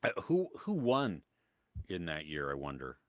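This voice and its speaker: A man's voice: very deep voice, wildly swooping pitch, unhurried. An American man co-hosting a live podcast, chatting casually about movies and reading viewer comments, with lots of fillers and frequent laughs. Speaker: podcast co-host. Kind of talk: casual live-stream chat.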